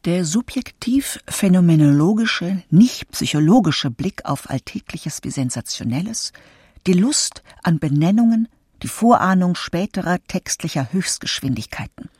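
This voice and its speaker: A woman's voice: on the low side, animated, measured pace, a little mellow, breathy edge, no echo, studio quality. A woman reading quotations from a script in a radio feature.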